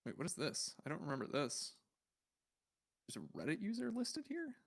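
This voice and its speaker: A man's voice: fairly high pitch, wildly swooping pitch, moderate pace, vocal fry. A man hosting a livestream, chatting casually and rambling as he thinks aloud in a puzzled, musing way while browsing. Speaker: livestream host.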